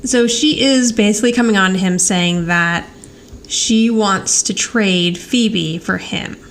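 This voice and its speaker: A woman podcast host chats casually as she retells a TV show. Her pitch is average for a woman, and she talks in an animated storytelling way. There is clear noise behind her voice. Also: measured pace. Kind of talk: casual recap chat about a TV show.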